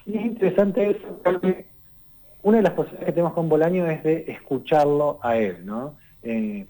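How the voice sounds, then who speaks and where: A man, giving a conversational radio literature column and explaining things unhurriedly, often pausing on "eh" and tagging his sentences with "¿no?". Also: fairly high pitch, warm tone, low-quality recording. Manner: unhurried and explanatory, tags lines with "¿no?" and "eh".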